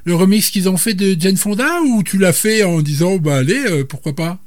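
A man with a fairly high voice hosts a radio show and interviews a guest. He sounds hesitant and stumbles over repeated words. The sound is dry and close. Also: moderate pace, no room sound.

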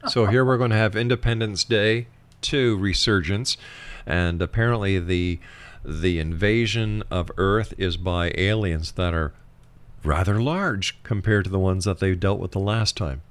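A man's voice: low-pitched, a little slow. A man with a Canadian accent hosting a conversational radio interview. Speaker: radio show host interviewing a guest.

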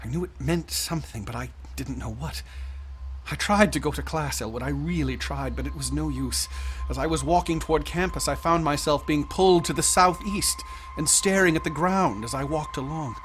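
Radio drama: young male student, haunted and obsessive, slightly high voice, intense, formally articulate played by a man. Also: moderate pace, a bit bright, faint music behind, natural voice. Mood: troubled. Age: young adult.

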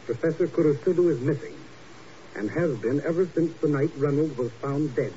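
An actor playing a suave, guarded hospital doctor in a 1950s radio drama, formal and courteously patronizing. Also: male voice, on the high side, natural voice. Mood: smooth, guarded. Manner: formal, measured, urbane. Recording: muffled, noisy old radio recording.

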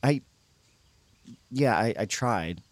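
A man's voice: medium pitch, lively intonation, takes it slowly, no echo. An actor playing a troubled, guilt-ridden young churchgoing man, speaking hesitantly and stammering in a naturalistic audio drama. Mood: subdued, ashamed.

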